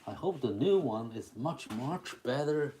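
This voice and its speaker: Slow-talking man with a Taiwanese accent. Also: medium pitch, animated, warm tone, slight background noise, low-quality recording. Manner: halting, repeats short phrases, talks to viewers. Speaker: live-stream drawing artist and host. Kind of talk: casual live-stream chat with drawing demonstration.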